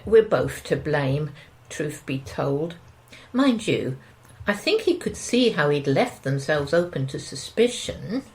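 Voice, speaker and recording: Casting: female actor as anxious middle-aged housewife for audio drama, gossipy, slightly low voice, animated intonation. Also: slow, heavily acted. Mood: wry, conciliatory. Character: anxious middle-aged suburban wife, gossipy, mildly exasperated. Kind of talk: naturalistic audio drama dialogue.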